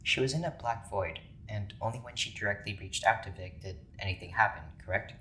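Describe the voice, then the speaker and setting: An actor playing a stern, analytical scientist in charge, speaking in a measured clinical way in an audio drama. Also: male voice, bright, forceful tone, poor audio. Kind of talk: naturalistic audio drama acting. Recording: clear background noise with rumble.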